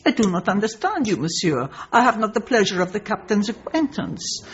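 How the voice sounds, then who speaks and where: Radio drama villainess: Russian spymistress, mock-courteous and menacing, creaky voice that swoops widely. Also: female voice, medium pitch, big pitch swings, a little fast, a little hiss or hum. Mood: falsely genteel. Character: ruthless older Soviet woman spy posing as a genteel countess. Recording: dull broadcast sound with loud music.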